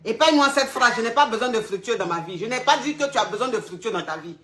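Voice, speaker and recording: A woman's voice: on the high side, expressive, quick pace, fairly bright tone, soft background music. A woman hosting a live advice call, blunt and repetitive, calling the caller "ma chérie". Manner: blunt, calls caller "ma chérie", repeats herself. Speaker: advice show host.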